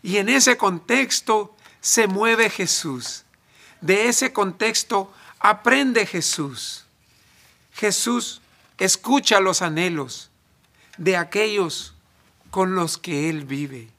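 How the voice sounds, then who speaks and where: A man from El Salvador, preaching a sermon in deliberate phrases with emphatic pauses. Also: high-pitched, bright, forceful tone.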